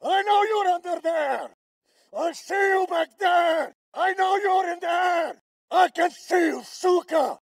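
An actor playing a brutal, threatening Russian soldier in a shooter game, with a Russian accent. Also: male voice, very high voice, slow pace, dry recording, shouting. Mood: enraged, taunting. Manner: threatening, taunting. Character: brutal, menacing Russian soldier hunting a child. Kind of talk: combat voice lines for a shooter game.

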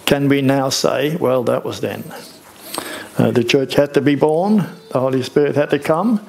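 An older man, preaching a sermon from a church stage in a conversational, unhurried way with rhetorical questions. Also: mid-range voice, gravelly, a little mellow.

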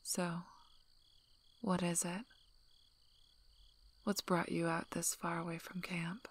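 Husky, half-whispering female werewolf alpha, acted by a woman. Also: on the low side, level tone, takes it slowly. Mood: low, softening. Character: gruff, guarded female werewolf pack alpha, wryly protective. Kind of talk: soft-spoken ASMR role-play.

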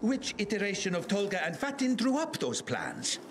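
Pompous game scientist, high voice swooping all over, grandiose and impatient. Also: male voice, wildly swooping pitch, moderate pace, full character voice. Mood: self-important. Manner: grandiose, theatrical, verbose. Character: pompous, impatient middle-aged male eccentric scientist. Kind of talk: video game cutscene acting. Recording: clearly noisy game ambience behind.

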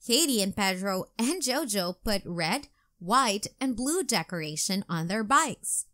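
Woman reading children's stories, very lively intonation. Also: medium pitch, a little slow. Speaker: children's storybook reader. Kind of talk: scripted read-aloud narration for young children.

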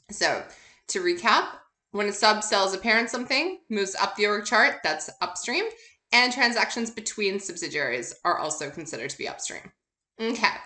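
Female course instructor, bright crisp-edged tone, informal explanatory lecture delivery, noticeably echoey recording. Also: medium pitch. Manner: conversational, informal. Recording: roomy, echoey sound.

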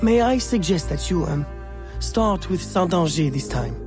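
Awkward, hesitant young clerk. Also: male voice, high-pitched, animated, a little slow, music in the background. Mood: earnest. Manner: hesitant, flustered, polite. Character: awkward, devoted young male bookkeeper and inventor. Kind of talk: scripted video game acting.

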